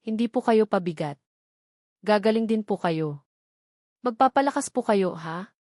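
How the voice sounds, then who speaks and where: A woman's voice: mid-range voice, lively intonation, a little slow, warm tone, no room sound, clean studio sound. A woman voicing a hardworking, caring, polite young woman street vendor in a narrated story. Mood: gentle reassurance. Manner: polite, earnest, calls out wares.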